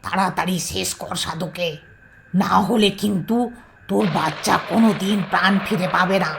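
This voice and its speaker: A woman playing a wicked, scheming tantric sorceress in a horror audio drama, goading and threatening in slow commands. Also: on the low side, steady tone, creaky, noisy background. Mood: taunting, menacing.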